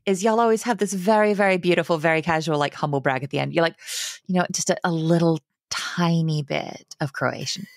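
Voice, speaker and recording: A woman in her thirties, a guest speaking on a video call from a home room, talking with animated enthusiasm in quick bursts. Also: on the low side, no echo, studio quality. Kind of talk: casual conversation.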